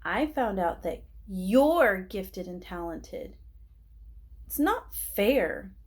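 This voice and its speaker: A woman's voice: medium pitch, very animated, extremely slow, heavily acted. A woman voicing a snippy, competitive schoolgirl know-it-all in a children's storybook. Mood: accusing.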